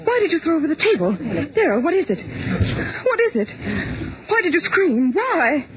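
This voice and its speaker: A woman's voice: very high-pitched, big pitch swings, normal speed, a loud crowd. An actress playing an anxious, doting sweetheart of a troubled man, theatrical and solicitous in 1940s radio drama style. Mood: alarmed. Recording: muffled, noisy 1940s radio recording.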